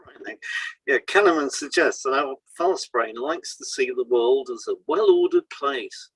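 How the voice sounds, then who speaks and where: A man co-hosting an educational podcast, reading scripted explanations in a measured, explanatory way. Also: mid-range voice, animated, a bit bright, slightly rough, no echo, poor audio. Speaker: podcast co-host and hypnotherapist.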